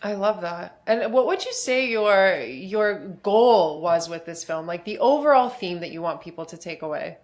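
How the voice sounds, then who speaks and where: A woman's voice: lowish voice, animated, normal speed, hardly any pauses. A woman hosting a film podcast, asking interview questions and affirming her guest's answers. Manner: warm, encouraging, affirming.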